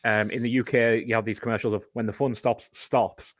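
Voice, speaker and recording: A man's voice: fairly low pitch, flat delivery, brisk, no room sound. Man talking sports in a dry room. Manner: conversational, explains at length with examples. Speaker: podcast guest. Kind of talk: casual podcast chat.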